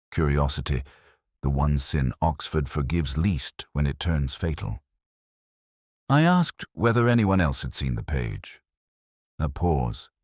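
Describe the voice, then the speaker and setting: Very deep, melancholy detective narrator, acted by a man. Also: a little mellow, dry recording, studio quality, full character voice. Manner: solemn, literary, deliberate phrasing. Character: melancholy, reflective male English police detective telling his case. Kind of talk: scripted first-person detective story narration.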